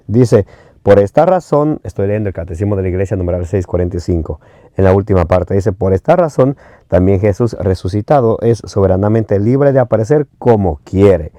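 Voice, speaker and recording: Ecuadorian man preaching, very warm dark voice, lowish pitch, dry close sound, halting fillers. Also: no room sound. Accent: Ecuadorian Spanish. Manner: reads scripture references slowly, often hesitates with fillers.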